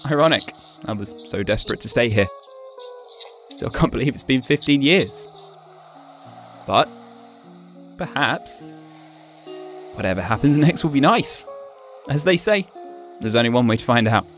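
An actor plays a gentle young man's ghost resigned to his death. His talk is hesitant and conversational with lively intonation. Faint music sits under this radio drama. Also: mid-range voice, measured pace, long pauses. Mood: wistful. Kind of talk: supernatural radio drama acting.

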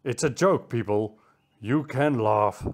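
A woman voicing a stern, commanding male cheetah with a calm, menacing manner in a children's story. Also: medium pitch, slow pace, slight background noise, voice pushed down, lightly acted. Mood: calm, assured. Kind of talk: children's animal story character voice.

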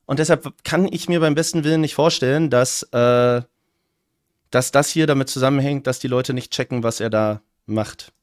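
A man whose first language is German, giving opinionated casual commentary as a streamer reacting to a rap battle, talking in fast bursts. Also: medium pitch, dry recording, studio quality.

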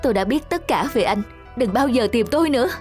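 A woman voicing a gentle, lovelorn young office worker in an animated drama, earnest and soft-hearted. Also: a little high, quick pace, soft background music, close to an everyday voice. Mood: wounded.

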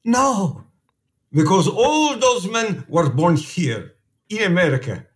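Earnest, defiant immigrant priest. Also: male voice, sits high, big pitch swings, takes it slowly, full character voice. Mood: indignant, sorrowful. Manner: earnest, pleading, formal phrasing. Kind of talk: radio play acting.

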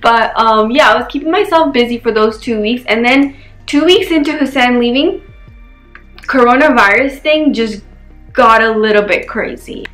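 Young female vlogger from New York, slightly high voice, chatty and candid, roomy bedroom sound with faint music underneath. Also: moderate pace, fairly bright tone. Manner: chatty and candid, many fillers. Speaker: lifestyle vlogger. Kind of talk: casual vlog chat to camera. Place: bedroom indoors.

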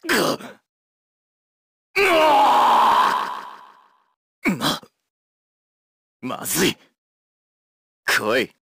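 An actor voicing a cool, forceful male fighter in short video game battle clips. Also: high voice, huge pitch swings, drawn-out words, gravelly, pauses often, breathy edge, no room sound, clean studio sound, full character voice. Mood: fierce, commanding.

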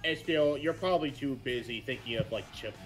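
A man's voice: mid-range voice, brisk, soft background music, close to an everyday voice. A man voicing a grumpy, easily riled cartoon crocodile who gets defensive and mocking in improvised banter. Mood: casual. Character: loud, grumpy, blustering male cartoon crocodile.

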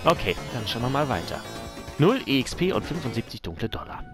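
A man's voice: mid-range voice, very animated, a little fast. A man commentating a video game as a let's play host. His intonation moves around a lot, and he reads on-screen text aloud over faint game music. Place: voice recorded over game audio, music behind.

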